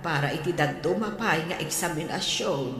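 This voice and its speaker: A woman plays a concerned, patient elder sister in an Ilocano audio drama. Her voice is notably low. Long reverb surrounds it, with music behind. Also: moderate pace, fairly bright tone. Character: patient, concerned older sister discussing family matters. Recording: echoey hall reverb, music bed.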